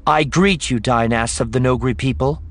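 A man voicing a regal, defiant princess and diplomat in an audiobook, with loud, formal, declamatory speech. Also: mid-range voice, normal speed, voice pushed up, lightly acted. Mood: regal, proud.

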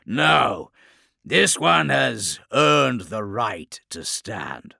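A man's voice: mid-range voice, sing-song, drawn-out words, creaky, sharp tone, dry recording, studio quality, pitched above the performer's own voice, exaggerated voice. A man voicing a hulking, gloating plague warlord in an audiobook, with a guttural, bubbling voice and a grand, theatrical delivery. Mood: mocking admiration. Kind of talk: audiobook villain acting.

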